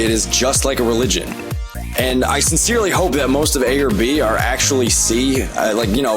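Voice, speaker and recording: A man narrating a comedic video essay in a sarcastic, profane, rambling way, full of asides. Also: mid-range voice. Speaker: online video commentator. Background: background music.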